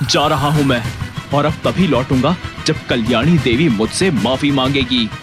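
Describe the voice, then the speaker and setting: A man's voice: medium pitch, normal speed, over music, lightly acted. A man playing a proud, hot-tempered, sarcastic young husband in an audio drama, assertive and biting. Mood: wounded pride. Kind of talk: Hindi audio drama acting.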